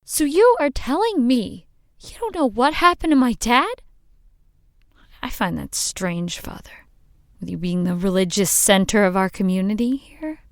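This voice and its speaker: A woman's voice: medium pitch, expressive, measured pace, no room sound, studio quality. A woman playing a sardonic, grieving, foul-mouthed woman pressing for answers in a radio drama. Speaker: actor in an audio drama.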